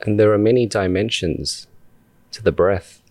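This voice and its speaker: A man leading a guided meditation as a podcast host, speaking calmly and deliberately in short phrases with long pauses. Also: lowish voice, dry recording.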